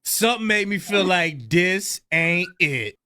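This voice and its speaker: A man reacting in casual chat, animated and mocking, firing off rhetorical questions. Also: high-pitched, unhurried, slight background noise. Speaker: reaction show co-host.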